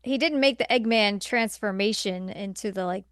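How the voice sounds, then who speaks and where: Smooth-voiced woman chatting on a podcast. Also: medium pitch, normal speed, dry recording, clean studio sound. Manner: informal, agreeable, frequent "like". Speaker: podcast co-host. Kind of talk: casual chat reviewing movies.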